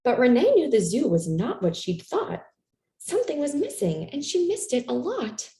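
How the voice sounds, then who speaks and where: A woman reading a children's picture book aloud in an expressive, animated storytelling manner for young listeners. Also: sits high, moderate pace. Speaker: storytime reader. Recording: roomy, echoey room sound.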